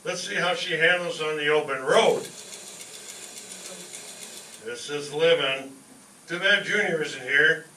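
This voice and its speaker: A man playing a blustering, excitable working-class husband and father in an old-time radio comedy, loud and exclamatory. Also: fairly high pitch, slow, pauses often. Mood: excited, boastful. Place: live stage show in a roomy hall. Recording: echoey room, distant mic, noisy.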